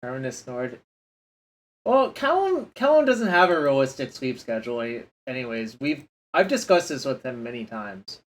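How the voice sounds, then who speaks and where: A man's voice: mid-range voice, sing-song, moderate pace. A man streaming a card game, talking casually to his chat and grumbling under his breath over his plays. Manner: frustrated, muttering to himself and chat.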